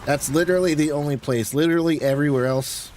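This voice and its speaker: A man's voice: fairly high pitch, quick pace, darkish tone. An American man chatting casually on a game livestream, rambling in a conversational way. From United States. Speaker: game streamer. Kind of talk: casual livestream chat while gaming.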